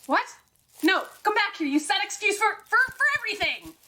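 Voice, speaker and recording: A woman playing a sassy, easily exasperated detective's sidekick in an audio drama, teasing and incredulous in a brisk way. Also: very high voice, expressive, pushed and bright, full character voice.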